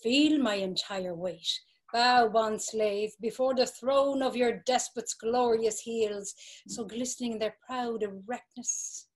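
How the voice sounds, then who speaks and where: An actress playing a domineering brothel madam, commanding and mocking, in a dramatic reading over a video call. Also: female voice, a little high, takes it slowly. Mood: taunting. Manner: commanding, taunting. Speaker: stage actor.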